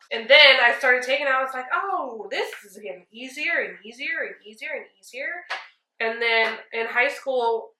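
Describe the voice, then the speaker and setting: A young woman in her twenties doing a friend's makeup while chatting casually and telling jokey stories at home. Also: on the high side. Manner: chatty, jokey, animated storytelling. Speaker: makeup artist host. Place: small indoor room at home. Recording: echoey room.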